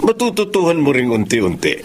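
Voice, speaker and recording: An actor playing a genial, smooth-talking wealthy Filipino boss with a sly side in a radio drama. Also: male voice, on the high side, huge pitch swings, fairly quick, background noise. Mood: persuasive, sly.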